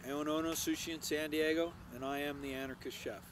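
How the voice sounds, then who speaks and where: Higher-voiced middle-aged American man, casual chef. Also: fairly even intonation, fast, a little hiss or hum. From Hawaii, United States. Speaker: chef and restaurant owner. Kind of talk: casual cooking presentation to camera. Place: outdoors, open yard and covered grill deck. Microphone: lapel microphone.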